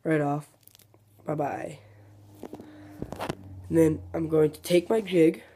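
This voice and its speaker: Mellow-voiced man giving a fishing how-to. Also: a little high, slow pace, a little hiss or hum. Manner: relaxed, rambling, self-correcting explanations. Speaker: fishing video host. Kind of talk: casual how-to demonstration.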